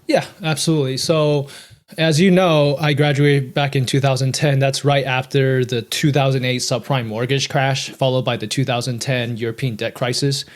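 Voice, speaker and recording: A man in his thirties from Taiwan, a podcast guest telling his story in an earnest, steady way. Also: mid-range voice, normal speed. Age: 30s. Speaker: guest, real estate investor. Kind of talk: podcast interview.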